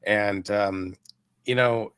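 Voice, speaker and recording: A man with a hoarse voice from a recent cold, telling a rambling story as a podcast guest. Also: lowish voice, drawn-out words, no echo. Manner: relaxed, rambling with fillers. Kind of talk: casual storytelling.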